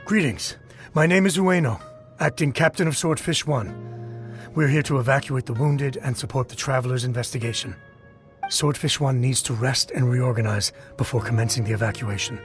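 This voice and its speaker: An actor plays a sensible army captain with a mid-pitched male voice. He is dutiful and businesslike, stating things matter-of-factly. Music plays clearly behind the game dialogue. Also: moderate pace, close to an everyday voice. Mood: formal. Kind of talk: video game character acting.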